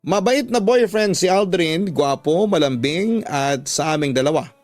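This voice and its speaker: Male Filipino radio drama narrator, slightly high voice, reading a confessional letter in a dry studio over soft music. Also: animated, unhurried, no room sound. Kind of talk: scripted dramatic narration of a confessional love story. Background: background music.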